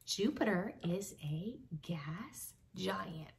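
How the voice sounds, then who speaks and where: A young woman reading a children's book aloud expressively, with dramatic pauses, addressing young listeners. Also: mid-range voice, lively intonation, vocal fry. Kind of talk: expressive read-aloud storytelling. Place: small home room with bookshelves. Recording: slightly distant mic, faint background noise.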